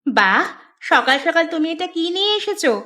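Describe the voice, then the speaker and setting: Woman voicing a shrill, scolding village wife. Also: very animated, fairly quick, sharp tone, heavily acted. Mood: curious, questioning. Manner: scolding, exasperated, emphatic. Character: sharp-tongued scheming village wife, scolding her husband. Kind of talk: Bengali cartoon voice acting.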